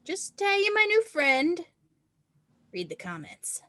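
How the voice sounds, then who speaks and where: A woman's voice: very high-pitched, huge pitch swings, slow, a bit bright, no room sound, full character voice. A woman reading an encouraging, self-reliant non-binary teenage snowboarder at a screenplay table read. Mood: upbeat.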